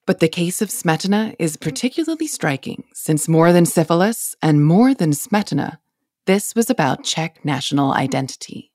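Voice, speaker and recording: A woman hosting a podcast, narrating a scripted story in a clear, measured way. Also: sits low, sing-song, darkish tone, no echo, studio quality. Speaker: podcast host.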